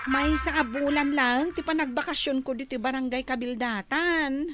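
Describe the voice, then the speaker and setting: A woman's voice: high-pitched, moderate pace, over music, lightly acted. An actress playing a young Filipino village woman with an Ilocano accent, easily frightened and indignant, in an audio drama. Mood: puzzled, polite.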